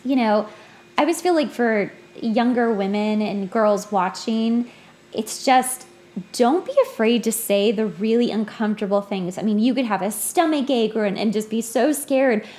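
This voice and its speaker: A woman with a fairly high voice talks as a podcast host. She is blunt and informal, chatting casually like someone in her thirties. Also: moderate pace, a little mellow. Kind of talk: casual chat.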